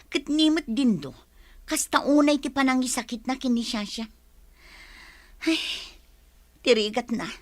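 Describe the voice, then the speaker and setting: A hurt, indignant wife in a filipino radio soap, high voice, dry studio sound. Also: female voice, no room sound. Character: wronged mother abandoned by husband, hurt and indignant. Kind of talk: Filipino radio drama acting.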